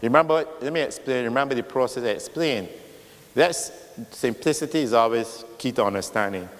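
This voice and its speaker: A loud-voiced man answering a question at a meeting, patiently explaining with worked examples. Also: mid-range voice, a little fast. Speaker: official explaining bonds. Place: meeting hall. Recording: long reverb in a hall.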